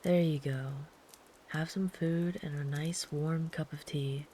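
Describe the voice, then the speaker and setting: A woman voicing a big, gentle, protective traveler camping in the woods, speaking softly and soothingly, sometimes in a whisper, as ASMR comfort roleplay. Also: low-pitched, slow pace, a little hiss or hum. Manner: soft, soothing, calm, at times whispered.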